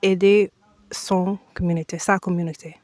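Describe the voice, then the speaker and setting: A woman from the United States, answering a TV interviewer's questions slowly and with many hesitations. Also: on the low side, fairly even intonation, a little mellow, no room sound. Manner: slow, halting, with frequent hesitations and restarts. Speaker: foundation president, guest.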